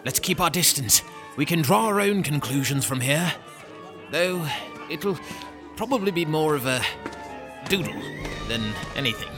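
A curious, cautious fantasy adventurer in an audio drama. He is mildly bemused, with a high, animated voice and clear music behind it. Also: a little slow, long pauses.

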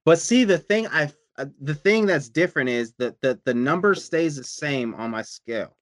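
A man's voice: on the high side, expressive, normal speed, fairly bright tone, no room sound, studio quality. A man chatting casually about his diet and workouts, rambling and profane, often repeating himself. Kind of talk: casual chat.

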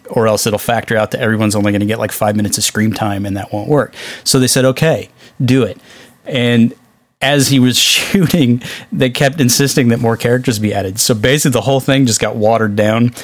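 A man's voice: medium pitch, no room sound. A man co-hosting a podcast, talking casually about movies in an informal, wryly amused way. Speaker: podcast co-host.

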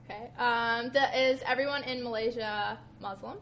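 A woman co-hosting a podcast, asking quick questions in a brisk, casual way. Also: on the high side, fairly even intonation, fairly bright tone, a little hiss or hum. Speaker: podcast co-host.

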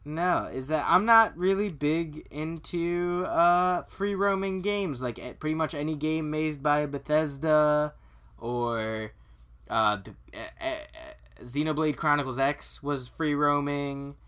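A man chatting casually about video games as a podcast co-host, colloquial, opinionated and quick to swear. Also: on the high side, a bit bright, smooth.

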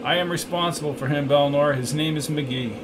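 A man's voice: on the high side, brisk, lightly acted. A man voicing a firm, loyal rebel sector leader in an audiobook, terse and assertive, over faint hum. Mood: apologetic, assuring.

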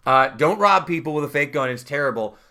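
Bright-voiced chatty podcast host. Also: male voice, a little high, expressive, a little fast. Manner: chatty, laughing, lots of "uh" and "like". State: losing his voice. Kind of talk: casual chat.

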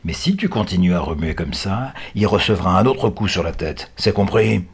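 Storybook villain: male reader as brutal kidnapper, low husky voice, menacing and impatient. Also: very animated, moderate pace, exaggerated voice. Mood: threatening.

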